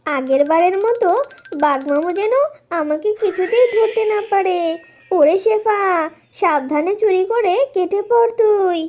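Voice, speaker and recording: A woman voicing a squeaky, cheeky young animal wife and petty thief in a children's cartoon. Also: animated, a little slow, a little mellow, soft background music. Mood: eager, wary. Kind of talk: Bengali children's cartoon voice acting.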